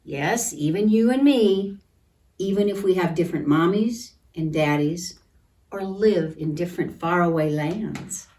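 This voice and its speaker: A woman reading a picture book aloud to children in a gentle, deliberate manner, pausing to comment and ask questions. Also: fairly low pitch. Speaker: children's storytime reader at a church. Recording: distant microphone.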